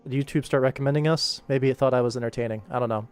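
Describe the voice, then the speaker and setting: A man's voice: medium pitch, steady tone, racing, a little mellow, clean tone. A young adult man chatting casually on a livestream while he works, rambling along in a jokey, self-deprecating way. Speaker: animator streaming his work. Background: background music.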